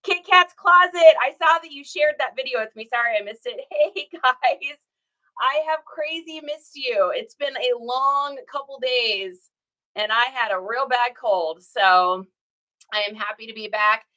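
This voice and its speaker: A woman's voice: on the high side, unhurried, bright, forceful tone. A woman, hosting a casual livestream and greeting viewers by name, her voice still scratchy after a cold. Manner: chatty, greets viewers by name, laughs often. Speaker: reseller livestream host.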